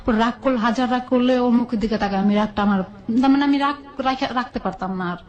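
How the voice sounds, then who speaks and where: A woman's voice: a little high, quick pace, highs cut off. A woman with a Bangladeshi accent telling her own life story in a colloquial, conversational way, often quoting dialogue back and forth.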